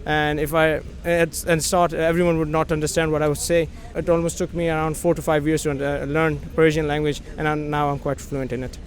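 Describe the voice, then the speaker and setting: A man's voice: fairly high pitch, level tone, brisk, hardly any pauses. A young man with a Pakistani accent, a native Urdu speaker, answering a street interview in earnest English with frequent restarts. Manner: earnest, repeats "quite", restarts often. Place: outdoors on a city street.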